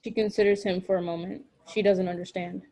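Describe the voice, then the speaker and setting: A woman reading scripted stage directions as narrator of a drama, in short, measured phrases. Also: medium pitch, fairly even intonation, warm tone.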